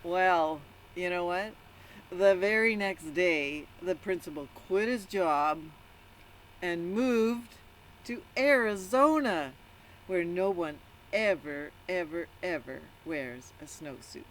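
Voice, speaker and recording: A woman reading a picture book aloud to children in an animated storytelling style, pausing to put questions to the listeners. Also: fairly low pitch, a little hiss or hum, low-quality recording. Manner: interactive, prompts listeners with questions. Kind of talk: animated read-aloud with asides to young listeners.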